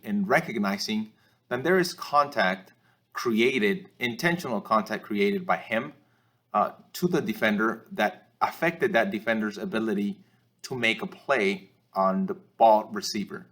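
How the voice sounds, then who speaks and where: A man narrates a sports training film review as an instructor, explaining in a measured, slightly hesitant way. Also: lowish voice, a bit bright. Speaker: football officiating instructor.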